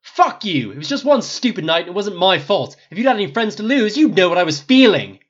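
A man's voice: sits high, very animated, a little fast, dull audio, voice pushed up. A man voicing a distraught, defensive young male wizard, agitated and volatile, in a dramatic story reading. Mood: angry, defensive. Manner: pleading, agitated, stammering under pressure.

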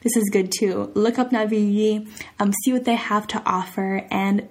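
A woman's voice: mid-range voice, fairly even intonation, moderate pace, a little mellow, slight background noise. A woman hosting a language lesson podcast in an informal, chatty way, sounding out example phrases carefully. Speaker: language podcast host teaching Italian phrases. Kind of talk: casual phrase lesson, conversational.

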